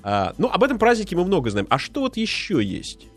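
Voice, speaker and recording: A man's voice: high voice, huge pitch swings, fairly quick, soft background music. A man hosting a casual radio interview, chatty and rambling, with many fillers and restarts. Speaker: radio host.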